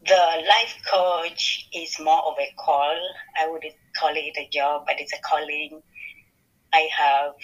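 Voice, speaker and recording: A woman's voice: low voice, a bit bright, poor audio. A woman from Kenya, a podcast co-host, talking earnestly and reflectively about her faith and work. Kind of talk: faith-based podcast conversation.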